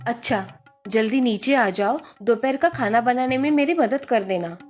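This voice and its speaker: A woman playing a middle-aged Indian mother and mother-in-law, nagging but caring, in an animated moral story. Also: fairly high pitch, normal speed, faint music behind, lightly acted. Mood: mildly bossy.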